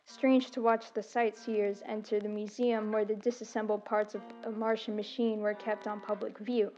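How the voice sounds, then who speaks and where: A woman performs as a reflective female professor, a survivor chronicling catastrophe for a radio drama. The delivery is solemn and literary, at a middle pitch. Faint music sits behind the slightly dull, lo-fi recording. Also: little pitch movement, darkish tone, close to an everyday voice. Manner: solemn, measured, literary narration. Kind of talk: dramatic radio play monologue.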